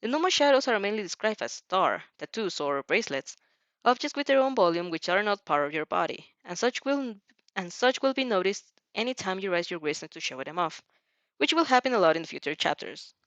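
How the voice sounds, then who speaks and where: A woman's voice: mid-range voice, very animated, a little fast, fairly bright tone, no room sound. A woman reads fan fiction expressively and drifts into chatty asides. Her voice is recorded close and dry, with a slightly muffled lo-fi sound. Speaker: fan fiction reader and reactor. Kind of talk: expressive story reading with casual asides.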